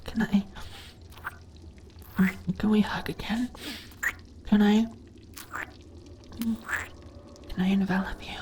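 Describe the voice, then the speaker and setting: Woman acting a slow, breathy slime monster girl. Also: medium pitch, fairly even intonation, warm tone, slight background noise, lightly acted. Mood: entranced, yearning. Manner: breathy, hesitant stammering, drifting into whispers. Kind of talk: intimate roleplay audio acting.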